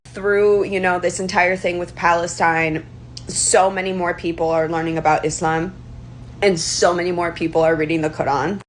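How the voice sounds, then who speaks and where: A woman's voice: lowish voice, little pitch movement, normal speed, slight background noise, low-quality recording. Gushing, chatty woman. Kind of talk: casual video talk.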